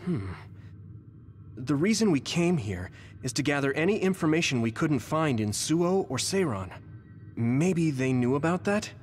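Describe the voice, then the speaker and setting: A man's voice: a little high, sing-song, measured pace, darkish tone, slight background noise. A man playing an earnest, thoughtful young soldier in a video game. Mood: earnest, pondering. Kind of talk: scripted video game voice acting.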